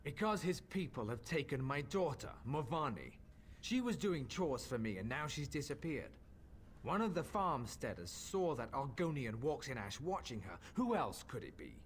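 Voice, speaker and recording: A man's voice: medium pitch, very animated, moderate pace, slight background noise, natural voice. A man voicing a stern, suspicious father in a video game, bitter and accusing toward outsiders. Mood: angry, accusing.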